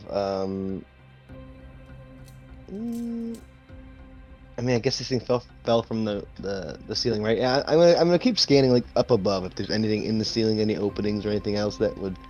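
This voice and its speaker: A man's voice: fairly low pitch, expressive, moderate pace, darkish tone, faint music behind. A man chatting casually as a player in a tabletop game, thinking aloud hesitantly.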